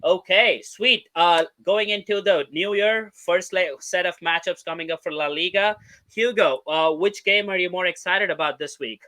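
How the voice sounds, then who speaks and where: An upbeat man hosts a casual sports podcast, his voice bright and a touch high. He keeps things moving, tossing quick questions to his co-hosts. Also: poor audio. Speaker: podcast host.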